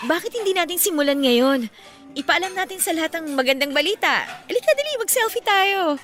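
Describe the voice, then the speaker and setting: Bright, playful young Filipina. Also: female voice, very high-pitched, a little fast, soft background music. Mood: happy, affectionate. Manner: teasing, expressive, conversational. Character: Grace, playful, affectionate young woman on a date. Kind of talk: Filipino radio romance drama acting.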